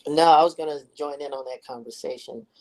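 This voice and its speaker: A man with a high-toned voice, calling in to a casual talk show from a quiet hospital room and telling stories with lively quoted voices. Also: a little fast, rough recording. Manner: animated storyteller, acts out quotes in a high voice. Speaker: guest caller. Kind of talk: casual chat.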